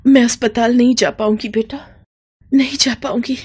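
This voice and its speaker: A woman's voice: on the high side, fairly even intonation, normal speed, a little mellow, slightly rough, voice pushed up. A woman voicing a long-suffering but defiant village wife and mother in a melodramatic audio story, plaintive and aggrieved. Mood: pained, pleading.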